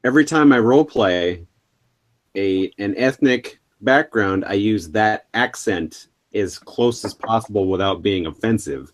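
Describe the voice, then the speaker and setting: A man's voice: lowish voice, measured pace. A man from North Dakota co-hosting a podcast, telling anecdotes in an easygoing, casual way. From North Dakota, US Midwest. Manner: easygoing, anecdotal, laughs as he talks. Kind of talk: casual chat.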